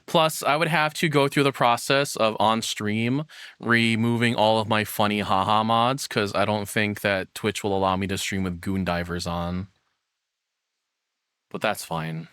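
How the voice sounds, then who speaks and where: A man's voice: lowish voice, steady tone, a little slow, a bit bright, smooth, dry recording, studio quality. A man hosting a livestream, chatting casually to viewers in a rambling, self-deprecating way. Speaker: livestream host. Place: own room. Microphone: processed streaming microphone, close and dry.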